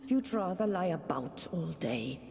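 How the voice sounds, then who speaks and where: An actress playing the pious ghost of a nagging noble wife, speaking in a sanctimonious, reproachful way. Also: female voice, fairly low pitch, lively intonation, unhurried, a crowd in the background. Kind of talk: video game voice acting. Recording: game audio with reverb and music.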